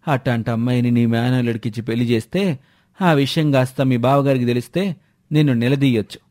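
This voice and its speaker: A man's voice: mid-range voice, steady tone, quick pace, a little mellow, natural voice. A man playing a cautious village friend in a moral story, earnest and worried as he speaks. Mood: confiding. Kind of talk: dramatised moral story dialogue.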